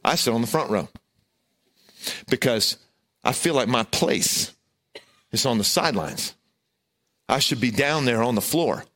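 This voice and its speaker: A middle-aged man with a loud voice, preaching a sermon and telling a self-deprecating, humorous personal story. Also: mid-range voice. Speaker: pastor.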